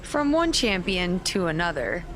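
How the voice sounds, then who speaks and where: A woman's voice: on the low side, sing-song, a little slow, noisy background. An actress playing a poised, teasing female wrestling champion in a video game story. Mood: friendly, teasing.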